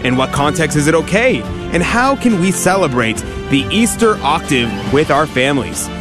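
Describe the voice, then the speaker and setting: A man's voice: fairly high pitch, sing-song, normal speed. A man reading an upbeat scripted radio promo for his morning show. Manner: upbeat announcer delivery. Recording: promo over music.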